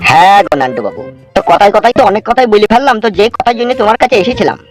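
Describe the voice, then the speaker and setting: High, quick-talking cartoon neighbour, played by a man, nosy and ingratiating, music underneath. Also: sing-song. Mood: chatty, hopeful. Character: nosy, pleading male village neighbour. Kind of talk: comedy cartoon voice acting.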